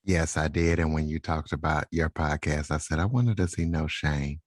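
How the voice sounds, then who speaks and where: Very deep-voiced male podcast interviewer. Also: steady tone, moderate pace, no room sound, clean studio sound. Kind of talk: casual interview questioning.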